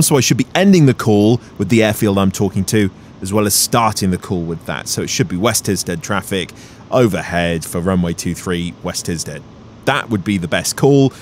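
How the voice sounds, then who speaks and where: A man's voice: fairly low pitch, darkish tone, slight background noise. A man in his thirties with an English accent gives a conversational, explanatory voice-over as a vlogging pilot, chatty and self-deprecating.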